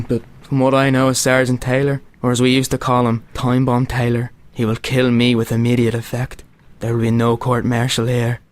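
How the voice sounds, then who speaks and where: An actor playing a young soldier who narrates his own execution in steady, grim tones. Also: mid-range voice, natural voice. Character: young male soldier refusing to shoot his brother. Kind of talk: amateur radio play acting.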